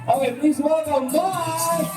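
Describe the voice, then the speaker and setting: A man's voice: extremely high pitch, steady tone, slow pace. A man working as a ventriloquist host, giving playful, coaxing live comedy patter to an audience. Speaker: ventriloquist entertainer hosting a birthday party show. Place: party venue with guests. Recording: echoey room, distant mic, faint music.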